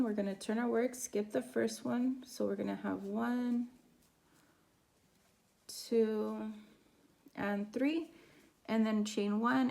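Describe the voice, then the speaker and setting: A woman teaching a crochet pattern step by step, her voice raspy from a sore throat as she counts stitches aloud. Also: mid-range voice, darkish tone. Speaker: crochet instructor. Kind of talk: step-by-step craft tutorial.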